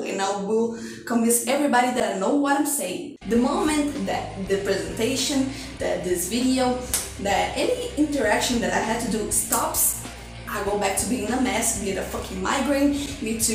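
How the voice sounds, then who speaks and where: A young Brazilian woman with a Brazilian Portuguese accent chats to her camera in a candid, self-mocking way. Her voice sits a little high and comes from a step back from the mic. The room echoes heavily, and music plays clearly under her. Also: recorded from a distance. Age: young adult. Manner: self-deprecating, chatty, animated swings. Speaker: vlogger. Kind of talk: candid personal vlog monologue.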